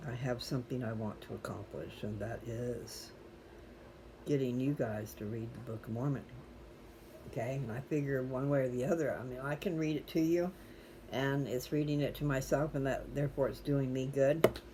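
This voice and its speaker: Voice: medium pitch, steady tone, moderate pace, noisy background. A chatty, folksy host talking casually and digressively to viewers. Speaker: host reading scripture aloud for viewers. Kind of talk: casual rambling personal talk to viewers.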